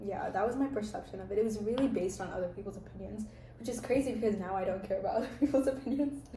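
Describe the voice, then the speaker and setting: A woman's voice: medium pitch, quick pace, reverberant, a crowd in the background, low-quality recording. A young American woman hosting a casual chat, telling stories about her life in an earnest, reflective way. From United States. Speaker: host.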